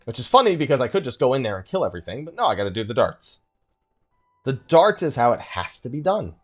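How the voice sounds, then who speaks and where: Man doing lively, casual gaming commentary. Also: mid-range voice, fast. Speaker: gaming video host. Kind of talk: casual let's play commentary. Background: game music.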